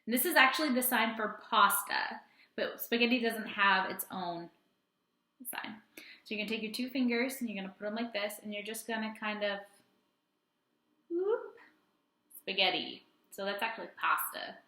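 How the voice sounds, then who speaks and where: A woman teacher reading a story aloud and chatting to young children in an expressive way. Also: medium pitch, moderate pace, long pauses. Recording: roomy indoor echo.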